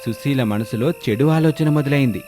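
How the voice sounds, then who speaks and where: A man's voice: mid-range voice, moderate pace, warm tone, soft background music. A man narrating a scripted moral story as storyteller.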